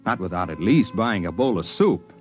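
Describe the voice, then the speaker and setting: A thoughtful amateur sleuth of about forty in a 1950s radio mystery. His voice is very low, measured and conversational. The recording is dull and dated. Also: expressive, darkish tone, faint music behind. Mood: reflective, measured. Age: 40s. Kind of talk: 1950s radio drama, first-person noir narration. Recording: muffled vintage radio recording.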